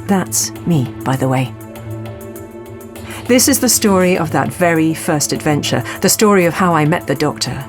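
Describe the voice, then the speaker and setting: A woman's voice: low-pitched, lively intonation, moderate pace, soft background music. A woman playing a wry archaeology professor narrating her own adventure in an audio drama. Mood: sombre, reflective. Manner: wry, storytelling. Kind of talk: dramatic first-person scripted narration.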